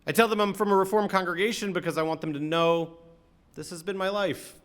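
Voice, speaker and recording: A man's voice: high-pitched, fairly even intonation, fairly quick, a bit bright. American man, a rabbi speaking reflectively. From Atlanta, American South. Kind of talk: sermon, conversational talk to congregation.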